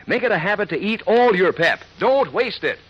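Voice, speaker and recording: A man's voice: high-pitched, lively intonation, moderate pace, heavy background noise. A man delivering a 1940s radio advertisement as a chummy, folksy, emphatic announcer. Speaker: radio announcer and sponsor pitchman. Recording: dull old radio recording.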